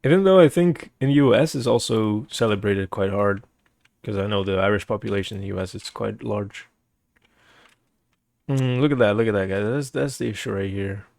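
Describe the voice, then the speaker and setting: A man's voice: on the low side, normal speed, gravelly, a little mellow. A young man, a native Portuguese speaker with a Portuguese accent, chatting casually with stream viewers, rambling, searching for words and laughing often.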